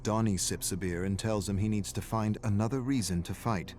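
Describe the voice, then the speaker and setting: A man giving a dramatic, brooding narration of dark fiction in terse, clipped phrases, like game lore. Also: on the low side, a little fast, slight background noise. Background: background music.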